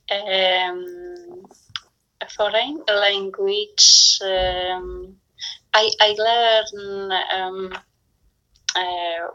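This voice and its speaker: Hesitant Polish-accented woman, very slow. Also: mid-range voice, bright, forceful tone, highs cut off. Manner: hesitant, searching for words, many fillers. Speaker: language learner. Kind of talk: casual conversation practice.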